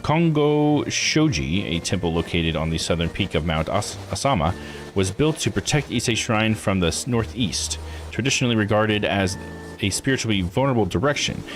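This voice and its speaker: Man reading a game aloud, lively pitch. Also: moderate pace, faint music behind. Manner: stumbles over unfamiliar names, muttered asides. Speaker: streamer playing a visual novel. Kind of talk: live read-aloud commentary of a game.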